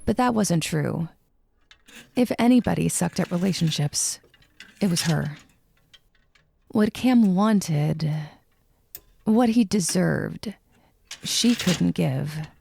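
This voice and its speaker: A woman narrates romance fiction in a low, heavily creaky voice. Her delivery is slow and mellow, over some background noise. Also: expressive. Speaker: audiobook narrator.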